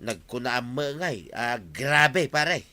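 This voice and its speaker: A bright, puzzled friend. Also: male voice, mid-range voice, big pitch swings, takes it slowly, exaggerated voice. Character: puzzled male friend of the captain.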